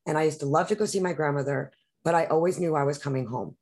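A woman's voice: sits low, quick pace, a little mellow. A woman from New York, a podcast guest, talking in an impassioned, rambling way with many restarts. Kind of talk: emotional political conversation.

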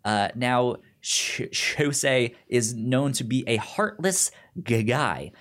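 Slow-talking man with a pronounced stutter. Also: medium pitch, fairly bright tone. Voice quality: pronounced stutter, repeated sounds and syllables. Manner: halting, hesitant, informal. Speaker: podcast co-host. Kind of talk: casual chat, pitching shows to a co-host.

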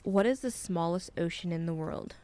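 A woman, hosting a casual trivia game with friends in a brisk, teasing way. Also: lowish voice, warm tone, a little hiss or hum. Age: 20s. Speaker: trivia quiz host.

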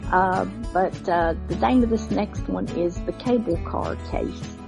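A woman hosting a podcast, giving a casual, unscripted introduction in a halting, hesitant way. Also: on the low side, creaky, over music, rough recording. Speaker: podcast host.

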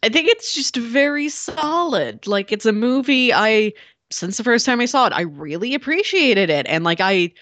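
A woman's voice: on the high side, animated, moderate pace, a bit bright, no echo. Woman, dry close podcast chat. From United States, Missouri. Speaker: podcast co-host. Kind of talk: casual film discussion.

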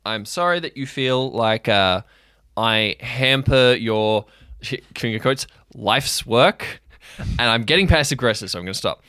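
Man acting out an anxious, placating counselor, bright and clear. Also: mid-range voice, animated, measured pace, no room sound, clean studio sound. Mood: earnest, apologetic. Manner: hesitant, earnest, stumbling therapist-speak. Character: anxious, placating adult counselor minding runaway kids.

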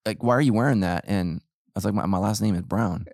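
Low-voiced American man, casual podcast talker. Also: fairly quick, a little mellow, no echo, clean studio sound. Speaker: podcast host and pastor. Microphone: close podcast microphone.

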